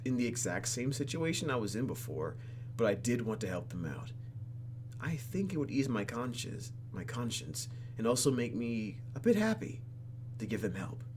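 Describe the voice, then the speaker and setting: A man hosting a game playthrough, reading the story aloud and tossing in playful, animated asides. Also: lowish voice, a little hiss or hum. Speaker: host of a game playthrough video. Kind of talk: reading game narration aloud with casual reactions.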